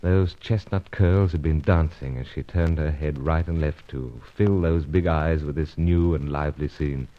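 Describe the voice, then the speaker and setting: An actor playing a gallant, stubborn British secret agent in an old radio adventure serial, with clipped gentlemanly speech and a British accent. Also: male voice, rumbling low, fairly even intonation, normal speed, hardly any pauses. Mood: brooding narration. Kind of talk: old-time radio adventure serial acting and first-person narration. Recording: dull, muffled old radio recording.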